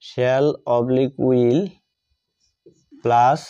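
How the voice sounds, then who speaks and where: Very slow, mellow Bengali-accented man teaching. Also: mid-range voice, level tone, clean tone. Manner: deliberate, repeats phrases piece by piece. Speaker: English grammar teacher. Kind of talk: dictated grammar lesson, written out step by step. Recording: dull lo-fi microphone sound.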